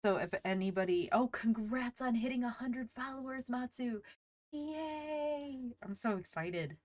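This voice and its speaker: A woman's voice: fairly high pitch, lively intonation, a little slow, darkish tone, dry recording. A woman hosting a casual livestream, chatting to viewers in a bubbly, enthusiastic manner. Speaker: livestream host, VTuber; teacher.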